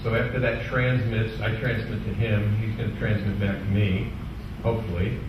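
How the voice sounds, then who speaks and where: A man giving an informal technical presentation to a club, explaining a software demonstration step by step in a halting way. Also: fairly low pitch, flat delivery, muffled recording. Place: indoor club meeting room. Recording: echoey room from far off, clearly noisy.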